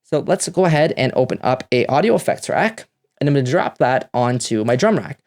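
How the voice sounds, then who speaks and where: A man's voice: medium pitch, sing-song, brisk, a little mellow. A man hosting a music production tutorial, talking through the steps in a casual, instructional way.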